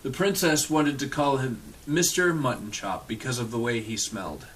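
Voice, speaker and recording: A man's voice: medium pitch, moderate pace, a bit bright, slight background noise. A man reading a storybook aloud with casual asides in a dry, irreverent manner, sometimes swearing. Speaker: storyteller reading a picture book aloud to an audience.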